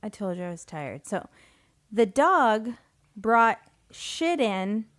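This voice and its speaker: A woman's voice: mid-range voice, big pitch swings, slow pace. A woman co-hosting a podcast in casual chat, tired and sleepy, often giggling sheepishly. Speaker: podcast co-host. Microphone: podcast microphone.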